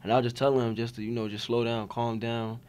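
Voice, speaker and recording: A man's voice: medium pitch, flat delivery, brisk, a little mellow, clear, resonant tone. A young man, a college basketball player, answering reporters' questions after a game at a press table. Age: young adult. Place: press conference room. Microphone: table mics in front of him.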